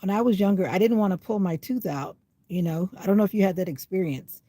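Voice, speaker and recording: An older woman with a slightly raspy voice rambles casually on a livestream, sitting in her parked car while it rains. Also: mid-range voice, quick pace, mellow, no room sound. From USA. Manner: rambling, chatty, talking to herself. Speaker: vlogger. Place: inside a parked car in the rain.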